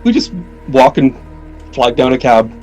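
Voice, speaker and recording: A man's voice: medium pitch, very animated, a little slow, darkish tone, rough recording. A man playing a tabletop game, casually describing what his character does. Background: faint music.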